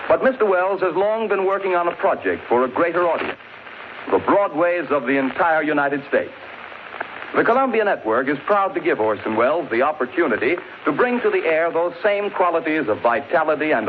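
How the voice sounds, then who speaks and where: A man's voice: a little high, phone-quality sound. A man introducing a summer radio series in a grand, promotional, declamatory way. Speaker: network announcer. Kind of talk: formal 1930s radio announcing. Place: broadcast studio. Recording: scratchy, hissy 1930s radio broadcast.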